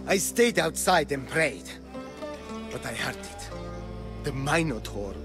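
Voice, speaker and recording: An actor playing a guilt-ridden, gentle old Greek man in a video game cutscene, grave and halting. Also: on the high side, big pitch swings, exaggerated voice. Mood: anxious, haunted. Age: older. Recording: loud music behind.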